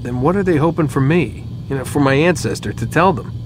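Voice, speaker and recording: Game role: sceptical young American man held captive, played by a male actor, casual and questioning, over a noisy rumble. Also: expressive, fairly quick, natural voice. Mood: sceptical, impatient. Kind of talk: video game cutscene dialogue. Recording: rumbling hum behind dialogue.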